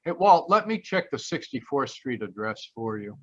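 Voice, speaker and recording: Man to play a smooth-talking, teasing radio gumshoe, mid-pitched, unflappable, on slightly muffled call audio. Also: sing-song, normal speed. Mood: businesslike, wry. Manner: breezy, teasing. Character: wisecracking, flirtatious male private detective, cool and unflappable. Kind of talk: old-time radio detective drama acting. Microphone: video call. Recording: dull video-call audio.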